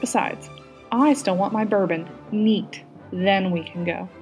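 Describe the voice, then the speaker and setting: Storybook Englishwoman, acted by a female narrator: haughty, cutting, sarcastic, mid pitch. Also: measured pace, darkish tone, faint music behind, lightly acted. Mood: playfully insistent. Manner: derisive, snorting, cutting. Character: haughty, sarcastic Englishwoman, sharp-tongued and stubborn. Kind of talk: audiobook character dialogue.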